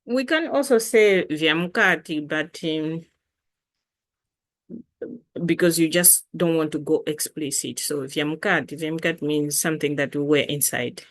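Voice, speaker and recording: A woman with a Malawian accent gives an informal language lesson as the teacher, repeating words deliberately for learners. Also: low voice.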